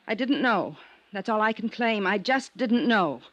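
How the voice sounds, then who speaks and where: A woman's voice: mid-range voice, lively intonation, a little slow, fairly bright tone, no echo. An actress playing a lonely, wistful and guarded young woman in a 1950s radio drama. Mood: defensive, troubled. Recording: noisy, muffled 1950s radio recording.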